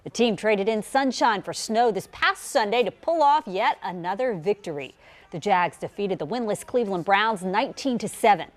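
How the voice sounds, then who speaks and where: A woman's voice: mid-range voice, animated, normal speed. A woman working as a television news anchor, delivering copy and segues in broadcast style. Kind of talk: scripted news reading with light anchor chat.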